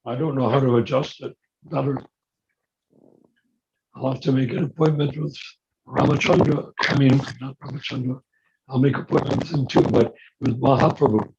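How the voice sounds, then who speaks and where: A man's voice: mid-range voice, steady tone, normal speed, vocal fry, long pauses, darkish tone. A man leading a spiritual discussion on a video call, earnest and rhetorical, his voice coming through scratchy via hearing aids linked to a phone. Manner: earnest, rhetorical, asks if he makes sense. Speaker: discussion leader. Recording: muffled scratchy call audio with noise.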